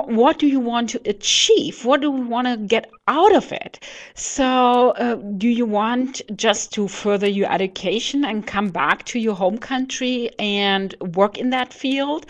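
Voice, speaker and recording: A middle-aged non-native English-speaking woman answering webinar questions as an adviser, hesitant and searching for words, on a headset mic. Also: a little high. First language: not English. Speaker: education adviser, webinar presenter. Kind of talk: online presentation answering audience questions. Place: indoors in an office, on a webcam. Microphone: headset microphone.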